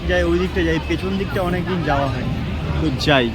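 High-voiced Kolkata man vlogging amid loud crowd. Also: fast talker. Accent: Kolkata Bengali. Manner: informal, pointing things out to viewers. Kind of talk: casual walk-around vlog narration. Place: crowded outdoor festival grounds. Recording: loud music and crowd, busy outdoor street noise.

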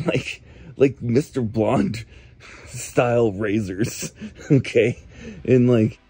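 A man's voice: mid-range voice, dark tone, slightly rough, dry recording. A man rambling casually in a slacker manner, drawing out his words as if leaving a comic phone message. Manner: laid-back slacker, drawn-out words, frequent "like", chuckling. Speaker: comic voicemail caller.

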